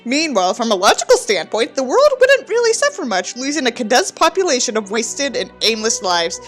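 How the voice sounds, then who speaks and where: A woman's voice: fairly high pitch, very animated, a little fast, soft background music. A woman voicing a bitter, self-pitying young narrator in a sneering, melodramatic dramatic reading of a novel.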